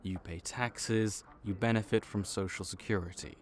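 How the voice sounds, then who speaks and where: Low-ish wry vampire office guy, a man doing it, audio rp, just doing the paperwork. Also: steady tone, moderate pace, lightly acted. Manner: matter-of-fact, wry, bureaucratic. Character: male vampire intake officer, dry, patient. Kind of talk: audio roleplay.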